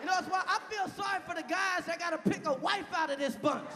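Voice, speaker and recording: A man in his thirties performing a loud stand-up comedy set on stage, shouting punchlines and chanting lyrics. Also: very high voice, quick pace. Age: 30s. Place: large venue on stage. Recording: live hall with loud crowd and noise.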